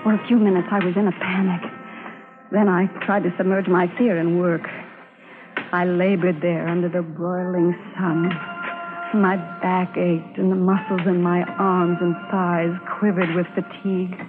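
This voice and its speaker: A woman's voice: lowish voice, level tone, a little slow, music in the background, close to an everyday voice. Actress as a brave woman spy, tinny vintage radio. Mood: grave narrating. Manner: earnest, dramatic delivery. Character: brave young Union spy woman disguised as a boy. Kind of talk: old-time radio drama acting.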